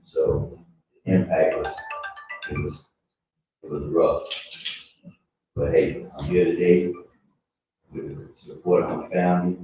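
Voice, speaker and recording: Very deep-voiced man in his fifties, echoey room. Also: steady tone, takes it slowly, pauses often, mellow, soft background music. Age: 50s. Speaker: guest speaker, trucking business owner. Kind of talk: personal testimony at a live event. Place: indoor room at a live event. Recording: very echoey room, distant dull mic, faint noise.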